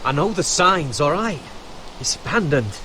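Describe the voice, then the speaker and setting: A man's voice: fairly high pitch, very animated, unhurried, bright, forceful tone, a little hiss or hum, exaggerated voice, low-quality recording. An actor voicing a wounded young wildling man in a video game, defensive and grumbling. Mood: defensive, weary. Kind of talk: dramatic video game acting.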